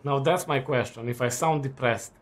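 A man in his twenties chatting casually while playing a game, relaxed and rambling with lots of fillers and restarts. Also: mid-range voice, normal speed. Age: 20s. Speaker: student gaming while chatting. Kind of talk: casual chat over gameplay.

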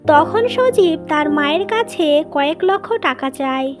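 A woman's voice: high-pitched, lively intonation, unhurried, over music. A woman reading scripted narration for a cartoon family story.

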